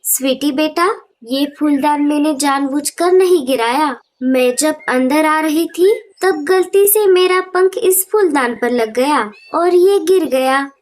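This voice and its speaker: Woman playing a high-voiced elderly auntie bird. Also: slow pace. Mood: apologetic. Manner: scolding, self-justifying. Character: indignant elderly female bird, a village auntie. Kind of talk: Hindi cartoon story acting.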